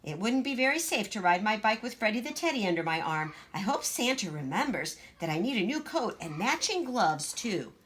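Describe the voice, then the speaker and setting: A woman's voice: mid-range voice, big pitch swings, normal speed, hardly any pauses, a bit bright, pitched above the performer's own voice. A woman voicing a bossy, boastful, chatty little girl in a children's storybook, with an emphatic, gushing know-it-all manner. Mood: excited chatter.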